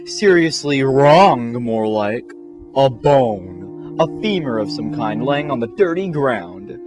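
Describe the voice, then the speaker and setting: A man's voice: medium pitch, animated, takes it slowly. A man narrating a comic fan-fiction story in a theatrical, mock-serious way. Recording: music behind the voice.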